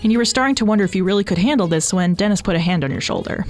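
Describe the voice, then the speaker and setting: A woman narrating fan fiction as an expressive scripted audio story. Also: mid-range voice, very fast. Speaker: podfic narrator. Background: faint music.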